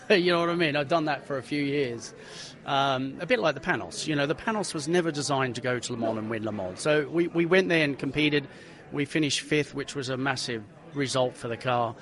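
A man, a racing driver telling stories in a casual interview, animated and full of restarts. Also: mid-range voice, a little fast. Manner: animated, repeats himself, many restarts.

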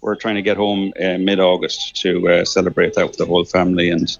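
An Irish man in his thirties phones in to a radio programme, talking casually and stumbling over his words with plenty of fillers and restarts. His voice sits low. The line sounds dull and muffled. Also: flat delivery, a little fast, vocal fry. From Ireland. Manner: hesitant, many restarts and fillers. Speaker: caller.

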